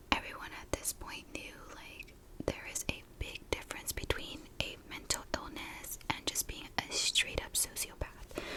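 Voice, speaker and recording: Slow whispering woman, ASMR storyteller. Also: low-quality recording. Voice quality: soft, breathy whisper. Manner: unhurried, informal, conversational narration. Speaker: ASMR true crime storyteller and YouTuber.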